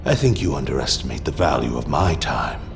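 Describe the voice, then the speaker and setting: An actor playing an imperious villainous lord in an audio drama, with a slow, measured, drily condescending manner. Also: male voice, a bass voice, sing-song, vocal fry, music in the background, full character voice. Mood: condescending, controlled. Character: menacing, imperious lord aboard a ship, villain.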